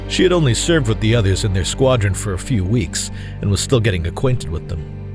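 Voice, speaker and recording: A male narrator with a low voice delivers scripted dramatic storytelling. Music plays clearly behind him. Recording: clear music bed under voice.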